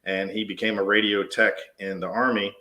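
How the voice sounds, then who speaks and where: A man's voice: on the low side, little pitch movement, normal speed, bright, forceful tone, poor audio. A man in his forties, a dispatch supervisor, telling stories in a casual, rambling interview style. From US East Coast. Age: 40s. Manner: rambling with frequent restarts. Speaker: podcast guest, dispatch shift supervisor.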